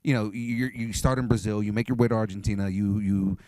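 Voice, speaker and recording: A man hosts a relaxed interview, easygoing and talkative. His voice sits on the low side. The recording is close and dry. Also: brisk, dry recording. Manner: chatty, friendly, many fillers. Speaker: podcast host. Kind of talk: casual interview. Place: comic convention.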